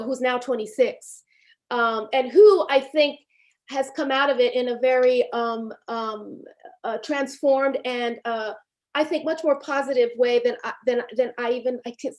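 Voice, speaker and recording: A woman documentary filmmaker from Haiti, in her fifties, speaks on a video call panel. Her voice sits a little high, and she thinks aloud with frequent ums and restarts. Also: a little mellow. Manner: thoughtful, halting with frequent fillers and restarts. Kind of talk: reflective panel discussion.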